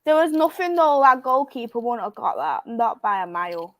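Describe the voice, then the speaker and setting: A woman's voice: on the high side, big pitch swings, moderate pace, a bit bright. A woman hosting a football watchalong, giving earnest match analysis and teasing her co-host. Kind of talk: casual football chat.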